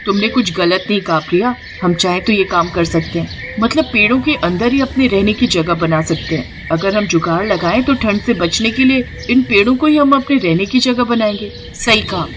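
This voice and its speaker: A woman voicing poor, resourceful young village daughters-in-law in an animated moral story, expressive and full of exclamations. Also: mid-range voice, moderate pace, few pauses, noisy background, close to an everyday voice. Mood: persuasive.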